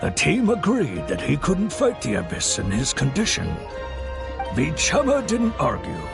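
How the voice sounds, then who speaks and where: A man's voice: medium pitch, huge pitch swings, measured pace, gravelly, music in the background, exaggerated voice. An actor playing an earnest, concerned young man who tells a sad story in a somber, slightly hesitant way in a video game. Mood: somber, explanatory. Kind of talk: scripted game dialogue, storytelling.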